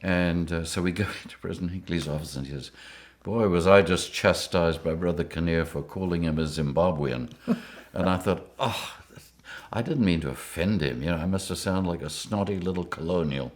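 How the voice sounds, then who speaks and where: An older man with a very deep, low-pitched voice. He tells his stories in a lively way and keeps breaking into chuckles. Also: moderate pace. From Rhodesia. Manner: lively anecdotes, chuckling often. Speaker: interviewee. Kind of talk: storytelling interview.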